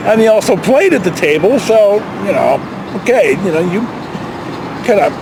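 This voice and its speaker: High-pitched American man telling personal stories. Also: background noise. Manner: rambling, reflective, halting. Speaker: storyteller vlogger. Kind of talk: casual personal storytelling.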